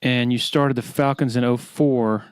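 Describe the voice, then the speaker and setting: A man's voice: medium pitch, fairly even intonation, measured pace, clear, resonant tone, no echo. A man hosting a podcast, speaking casually and informally. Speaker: podcast host, interviewer. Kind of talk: casual podcast talk and interviewing.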